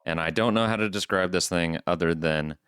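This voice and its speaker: A man with a very deep, smooth voice talks as a podcast co-host. He explains things casually and a little haltingly, in a dry, close-sounding recording. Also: fairly bright tone, dry recording. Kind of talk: casual recap of horror videos.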